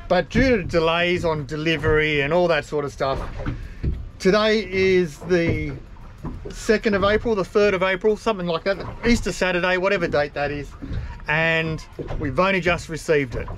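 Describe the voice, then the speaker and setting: A man's voice: on the high side, noisy background. Chatty Australian man on a boat. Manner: chatty, rambling, self-deprecating. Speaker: boating vlogger. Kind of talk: casual vlog narration. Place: on a small open motorboat on a harbour, outdoors. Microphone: camera mounted on the boat.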